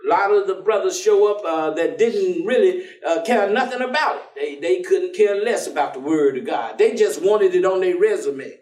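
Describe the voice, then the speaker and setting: Animated, high-voiced American streetwise ex-con preacher. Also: male voice, normal speed, hardly any pauses. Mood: reflective. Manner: folksy slangy drawling storyteller, teasing, chuckling. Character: warm, streetwise ex-convict man turned preacher, stubbornly cheerful. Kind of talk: theatrical staged reading. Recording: echoey theatre stage, distant mic.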